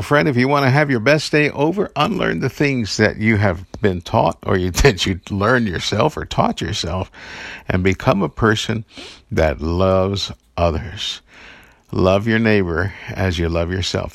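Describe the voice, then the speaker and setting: A man's voice: lowish voice, gravelly, dry recording, studio quality. A man hosting an inspirational podcast, speaking earnestly and encouragingly and telling personal stories with the odd chuckle. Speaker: podcast host giving daily encouragement. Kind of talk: inspirational devotional talk.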